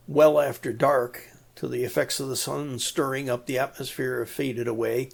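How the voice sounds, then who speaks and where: Male hobbyist presenter, unhurried and slightly gravelly, plain-spoken, walking step by step through technical work. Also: mid-range voice. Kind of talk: explanatory DIY electronics narration.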